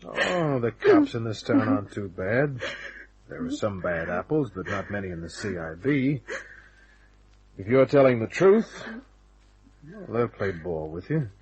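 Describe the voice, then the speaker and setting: An actor playing a tough, cynical private detective in an old-time radio drama, talking in a wry, casual, laconic way with a rough, husky voice. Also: male voice, fairly low pitch, very animated, measured pace, pauses often, darkish tone, lo-fi sound, exaggerated voice. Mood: skeptical, wry. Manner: wry, casual, hard-boiled.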